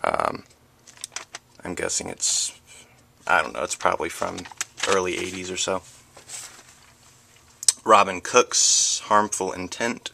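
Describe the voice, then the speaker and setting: A man's voice: low-pitched, animated, slow pace, vocal fry, long pauses, sharp tone. A man chatting casually and hesitantly as he presents a collection item by item on a home video. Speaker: book vlogger. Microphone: handheld camera, close behind it. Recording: noisy home camera audio with handling clicks.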